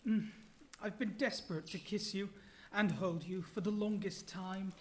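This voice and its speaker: A woman playing an earnest, nervous, polite young gentleman in a period radio play. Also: medium pitch, steady tone, a little slow, slight background noise, lightly acted. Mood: earnest, uneasy.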